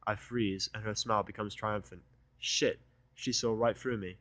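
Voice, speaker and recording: A man's voice: lowish voice, steady tone, normal speed, fairly bright tone, no room sound. A man narrating first-person fiction with a sardonic, irritable delivery. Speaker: audiobook narrator.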